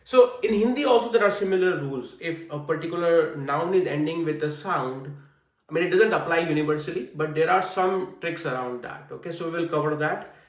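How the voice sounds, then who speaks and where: A man's voice: a little high, fairly quick, echoey room, distant mic. A man teaching a language class online, talking conversationally with many fillers. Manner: conversational, frequent fillers and "I see". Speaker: Hindi teacher.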